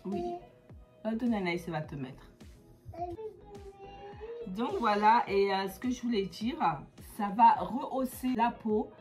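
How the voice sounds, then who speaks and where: A woman with a cold-stuffed, nasal voice talks her viewers through a beauty routine in a loose, chatty way, often pausing on fillers. Her pitch sits in an ordinary middle range. Faint music plays softly behind her. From Comoros. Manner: chatty, many hesitations. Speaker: beauty vlogger. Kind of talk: casual how-to vlog.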